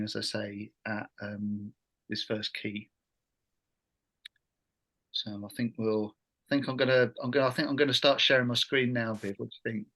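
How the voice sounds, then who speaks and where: Guy middle aged running an online workshop, kinda halting, not much pitch movement. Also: creaky, a bit bright, poor audio. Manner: halting, hesitant phrasing. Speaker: workshop host, education trainer. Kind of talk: informal online workshop presentation. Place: video call.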